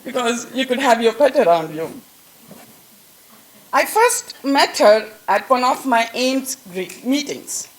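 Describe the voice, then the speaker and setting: Woman, Indian accent, talkative speaker at a staff event, bright higher pitch. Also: lively intonation, slow pace, low-quality recording. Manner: chatty, laughing at own jokes. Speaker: colleague giving a tribute speech. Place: event room with an audience.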